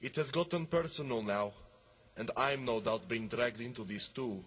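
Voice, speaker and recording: A man's voice: medium pitch, normal speed, very noisy, lightly acted. A man playing a dry, polite, long-suffering sidekick to a detective in an old-style radio drama. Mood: dry, resigned. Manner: dry, exasperated. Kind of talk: 1950s radio drama acting. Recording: loud music behind the voice.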